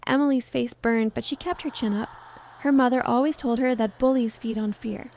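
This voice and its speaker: Woman reading a dramatic story, highish voice, really soft warm tone. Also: steady tone, moderate pace, slight background noise. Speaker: story narrator. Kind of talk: scripted dramatic story narration.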